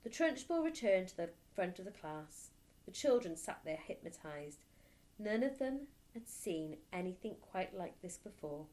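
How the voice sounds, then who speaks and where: A woman teacher reading a children's storybook aloud with expressive narration. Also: mid-range voice, a little slow.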